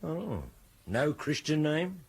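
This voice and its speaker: A man playing a respectful, steady police sergeant in a radio drama, with a British accent and a matter-of-fact manner. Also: a little high, slow pace, a little hiss or hum. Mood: probing.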